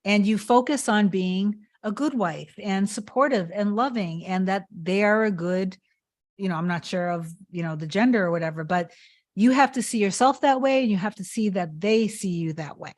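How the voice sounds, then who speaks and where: A woman's voice: mid-range voice, moderate pace. Earnest woman coaching on a live stream. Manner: repetitive, emphatic, earnest. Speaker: manifestation coach. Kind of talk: live-stream talk answering chat comments.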